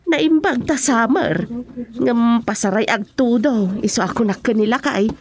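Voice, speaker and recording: A woman's voice: on the high side, normal speed, crowd noise, close to an everyday voice. A woman playing a humble, polite village housewife in a comedic radio drama. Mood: polite, modest.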